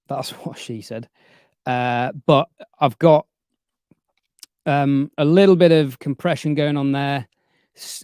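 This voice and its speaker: A man's voice: mid-range voice, a little mellow, clean tone, no echo. A man hosting a casual livestream from his home studio, chatty and joking, with plenty of fillers, speaking close to a studio microphone. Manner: chatty, jokey, trailing fillers. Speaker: livestream host. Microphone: studio dynamic microphone.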